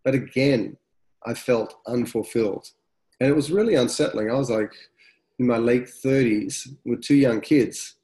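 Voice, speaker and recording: A middle-aged man, hosting a webinar in a relaxed, conversational, reflective way, his nose blocked up from a cold. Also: medium pitch, normal speed. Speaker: webinar host. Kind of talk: casual fireside-style webinar talk.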